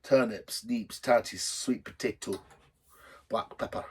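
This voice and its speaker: A middle-aged man with a slightly husky voice hosting a drinks show in a rambling, jokey, blunt and sweary unscripted chat to camera. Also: on the low side, unhurried, darkish tone. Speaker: host of a drinks video show.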